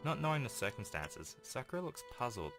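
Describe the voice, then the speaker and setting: A man's voice: medium pitch, very animated, fairly quick, creaky. A man hosting a gaming video, reading a visual novel aloud in a casual way with informal offhand commentary. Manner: informal, makes offhand asides between read passages. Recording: game music playing behind the voice. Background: game music.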